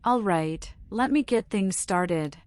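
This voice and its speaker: A composed investigative writer in a noir video game, at a medium pitch and recorded very dry. She is assured, businesslike and matter-of-fact. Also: expressive, slow, no room sound.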